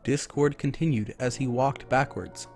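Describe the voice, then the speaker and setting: Man, narrator, dramatic story reading, mid-pitched voice, soft music behind. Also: measured pace, vocal fry. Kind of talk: dramatic reading of fan fiction.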